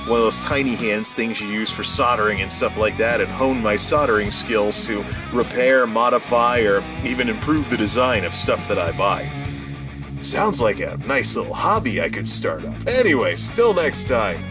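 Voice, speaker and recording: Man, medium pitch, lively intonation, casual gadget vlogger, rambling, wry, off-the-cuff demo. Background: music playing from speakers at times.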